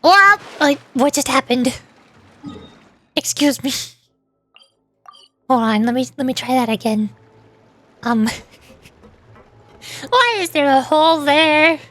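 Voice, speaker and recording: A woman's voice: high-pitched, expressive, slow, pauses often, a bit bright. A woman streamer giving casual, chatty live commentary on a game in a playful way. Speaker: VTuber streamer. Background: faint music.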